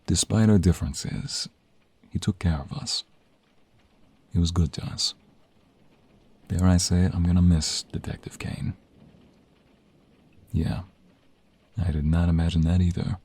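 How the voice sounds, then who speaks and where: A man performing an ASMR romantic roleplay as an affectionate, teasing mobster husband and young father, in a breathy, husky voice with intimate, drawn-out delivery. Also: low-pitched, steady tone, a little mellow, heavily acted. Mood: warm, reflective. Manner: intimate, drawn-out, playful delivery with sighs and murmurs.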